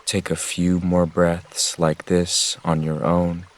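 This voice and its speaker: Slow, low-voiced man guiding meditation. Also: completely flat delivery. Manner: gentle, measured, soothing instruction. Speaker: meditation guide. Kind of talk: guided meditation narration.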